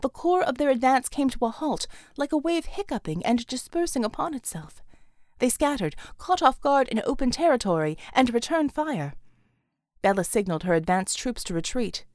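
A woman's voice: high-pitched, very animated, normal speed, a little mellow, dry recording, studio quality. A woman reading scripted action fiction as a dramatic audiobook narrator.

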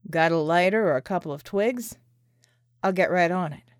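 A woman voicing a skeptical, wary American man with a gruff, folksy drawl, in an audiobook story. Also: high voice, moderate pace, no echo, studio quality, pitched below the performer's own voice, lightly acted.

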